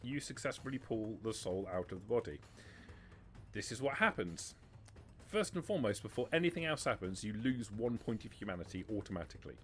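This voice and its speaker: A man's voice: fairly low pitch, big pitch swings, a little fast, fairly bright tone, soft background music. A man running a tabletop roleplaying game as game master, patiently explaining rules and narrating scenes.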